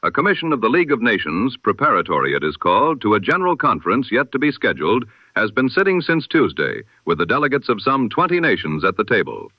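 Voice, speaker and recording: Guy doing old timey 1920s radio news, serious and formal, pitch moves around a lot, very dry muffled audio. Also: big pitch swings, quick pace, hardly any pauses, no echo. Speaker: news presenter. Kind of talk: scripted news bulletin styled as 1920s radio.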